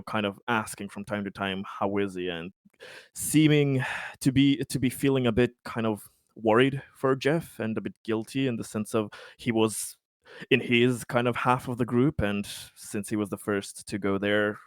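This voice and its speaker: A man with a fairly low voice plays a tabletop game, describing what his character does. His phrasing is wordy and wry, and the sound is dry. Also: flat delivery, moderate pace, smooth, dry recording.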